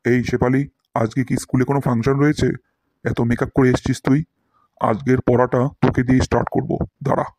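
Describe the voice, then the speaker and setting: A man's voice: medium pitch, little pitch movement, fast, a little mellow, no echo, natural voice. A man voicing a strict, grumbling history teacher in a comedy cartoon, with a bossy, scolding manner. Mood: stern.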